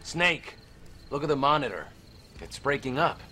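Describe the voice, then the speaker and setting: A man's voice: mid-range voice, sing-song, moderate pace, sharp tone, a little hiss or hum. A man playing a cocky, wry young army sergeant in an audio drama, with a casual, wisecracking manner. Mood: alert, uneasy.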